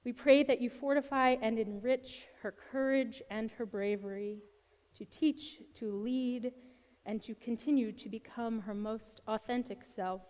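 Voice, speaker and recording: A woman's voice: on the high side, fairly even intonation, a little mellow. Woman leading a prayerful blessing. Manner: measured, prayerful cadence. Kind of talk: liturgical blessing. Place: sanctuary. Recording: long hall reverb.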